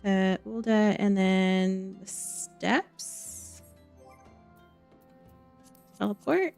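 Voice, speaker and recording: A woman's voice: mid-range voice, drawn-out words, vocal fry, a little mellow, clear, resonant tone. Woman thinking aloud as she streams a game. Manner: talks to self, thinking aloud. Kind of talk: casual live gameplay commentary. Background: music.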